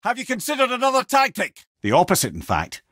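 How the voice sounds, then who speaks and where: A man's voice: very high voice, wildly swooping pitch, fast, a bit bright, no room sound, clean studio sound, heavily acted. A male actor voicing a witty, wise, talkative older Scottish companion in a video game, with a wry, eloquent storytelling manner. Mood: urgent, lively. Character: witty, garrulous, wise severed-head companion, older man.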